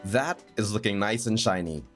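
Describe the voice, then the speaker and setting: A man narrating his gameplay in a chatty, self-mocking voice-over, a bit raspy from a cold. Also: fairly low pitch, expressive, moderate pace, fairly bright tone. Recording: faint background music.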